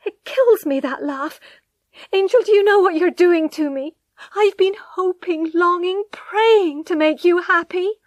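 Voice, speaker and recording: A woman voicing a distraught young wife who pleads with her husband in a high, loud voice. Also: measured pace, dry recording, muffled recording. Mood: pleading.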